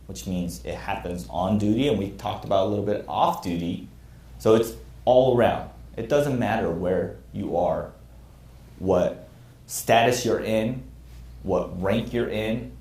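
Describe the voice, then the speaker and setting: A man's voice: fairly low pitch, darkish tone. A man in his thirties teaching a class as a military instructor, earnest and candid about his own past mistakes. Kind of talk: classroom lecture. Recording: echoey room.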